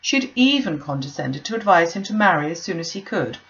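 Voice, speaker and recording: A woman reading a classic novel aloud, with an expressive, animated delivery. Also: fairly low pitch, a little fast, dull audio. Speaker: audiobook reader.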